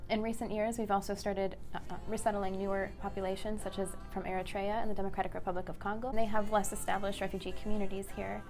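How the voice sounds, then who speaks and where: A woman runs through facts about refugee services at a quick clip. Her voice stays level with little rise or fall. Faint music sits behind her. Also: mid-range voice, level tone, a little mellow. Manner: brisk, explanatory. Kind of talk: informative interview answer.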